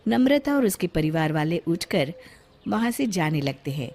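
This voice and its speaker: A woman narrating a children's cartoon story in a clear, even storytelling manner. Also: lowish voice, moderate pace.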